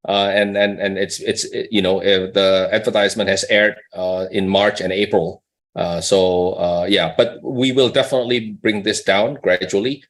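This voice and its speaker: A man's voice: sits low, steady tone, normal speed. Conversational male company executive explaining things. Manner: conversational, checks in with "right?" and rhetorical questions. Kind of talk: explanatory business talk on an earnings call.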